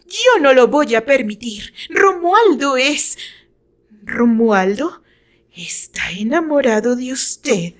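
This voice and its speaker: A proud, jealous woman acted by a female narrator in a romantic radio novel. Her voice is high and her delivery slow and indignant. She sounds haughty and forceful, a rival who will not yield. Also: very animated, exaggerated voice. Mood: jealous anger.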